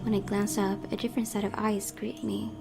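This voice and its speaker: A woman gaming host reading horror visual novel narration aloud with dramatic emphasis, often dropping into hushed, breathy tones. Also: medium pitch, level tone, brisk. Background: game music.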